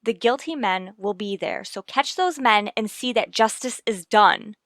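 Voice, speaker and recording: Woman playing a bright, insistent crusading lady. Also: mid-range voice, animated, a little slow, no room sound, clean studio sound. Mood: pleading, determined. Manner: insistent, imploring. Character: earnest, determined young lady pleading for justice. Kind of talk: old-time radio drama acting.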